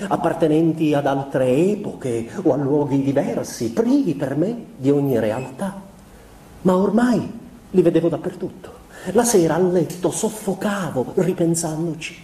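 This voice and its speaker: An actor playing an introspective, tormented man confessing his troubled youth in a measured theatrical monologue with deliberate pauses. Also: high-pitched, warm tone. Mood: anguished, fearful. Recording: roomy, distant, faint background noise.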